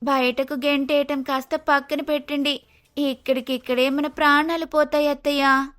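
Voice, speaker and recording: A woman's voice: sits high, level tone, normal speed, fairly bright tone. A woman playing a bold, scheming young daughter-in-law in a cartoon moral story, insistent and dramatic.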